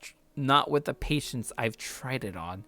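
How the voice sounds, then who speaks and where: A let's play host reading a visual novel aloud dramatically, with slangy, expressive casual commentary. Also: medium pitch, a little slow, no room sound.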